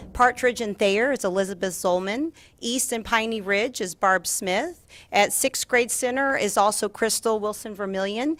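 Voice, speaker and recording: A woman's voice: mid-range voice, a bit bright, dry recording. A woman giving a formal presentation as a school district administrator at a meeting. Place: school board meeting room.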